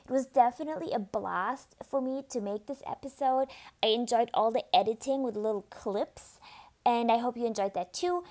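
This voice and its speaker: High-voiced woman with slight vocal fry. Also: normal speed, a little mellow. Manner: casual, chatty, candid, with fillers and restarts. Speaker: podcast host. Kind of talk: solo podcast monologue.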